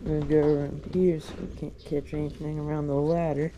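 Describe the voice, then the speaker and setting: A man narrating his own outing in a relaxed, chatty way, filmed outdoors by a creek on a chest-mounted action camera. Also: on the high side, brisk, noisy background. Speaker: vlogger. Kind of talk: casual first-person commentary.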